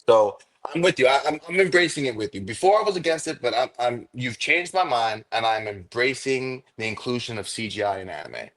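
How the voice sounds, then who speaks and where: A man's voice: medium pitch, lively intonation, a little fast, few pauses, a bit bright. A man talking in a casual chat as a guest, eager and filling his speech with "like" and "um". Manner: enthusiastic, many fillers like "like" and "um". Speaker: guest content creator.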